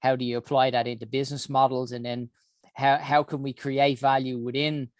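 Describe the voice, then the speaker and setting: A man's voice: medium pitch, little pitch movement, fairly quick, no echo. Dublin man, dry close voice. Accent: Dublin Irish. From Ireland. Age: 40s. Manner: earnest, with frequent ums and uhs. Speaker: health summit founder. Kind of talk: recorded personal talk.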